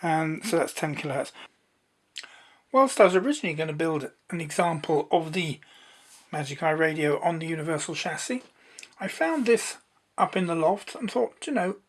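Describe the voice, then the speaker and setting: Unhurried presenter explaining at a medium pitch. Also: animated. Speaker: presenter of an electronics demonstration. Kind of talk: informal hands-on technical demonstration.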